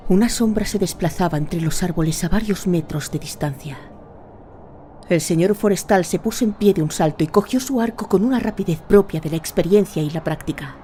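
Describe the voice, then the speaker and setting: Low, mellow woman narrator. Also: slight background noise. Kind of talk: scripted dramatic storytelling narration.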